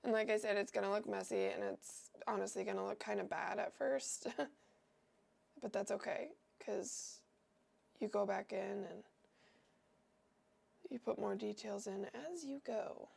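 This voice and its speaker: A woman's voice: medium pitch, sing-song, unhurried, vocal fry, pauses often, darkish tone, husky. A young woman with a cold and a nasal voice, hosting a livestream and chatting casually as she paints, wandering and thinking aloud with lots of fillers. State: sick and nasal.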